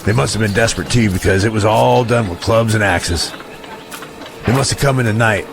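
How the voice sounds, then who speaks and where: An actor playing a grim, cautious scout with a gravelly voice in an audio drama. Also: male voice, on the low side, fairly quick. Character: grim, cautious male scout of a refugee group. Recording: noisy outdoor ambience behind.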